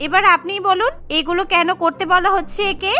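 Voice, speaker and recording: A woman's voice: very high voice, fairly even intonation, a little fast, bright, forceful tone, soft background music. A woman voicing a haughty, scheming elder sister-in-law in a cartoon, bossy and taunting. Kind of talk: cartoon voice acting.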